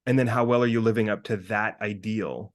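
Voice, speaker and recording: A man in his thirties talking casually as a podcast co-host, animated and sweary as he thinks out loud. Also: fairly low pitch, a little fast. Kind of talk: casual conversational monologue with hypothetical examples.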